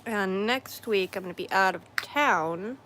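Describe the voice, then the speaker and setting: A hoarse woman chatting slowly and easily while she crafts at home, clearing her throat often and circling back to fix what she just said. Her pitch sits mid-range, and a faint hum of room noise stays under her voice. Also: bright, forceful tone. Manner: rambling, with frequent throat-clearing and self-corrections. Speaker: craft streamer. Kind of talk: casual livestream chat while crafting.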